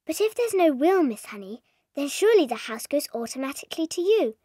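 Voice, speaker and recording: Very high-pitched British little girl. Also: very animated, a little fast, dark tone, no room sound, studio quality. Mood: earnest. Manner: eager, questioning, precocious. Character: bright, earnest, curious little schoolgirl, precocious and caring. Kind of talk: dramatised children's audiobook.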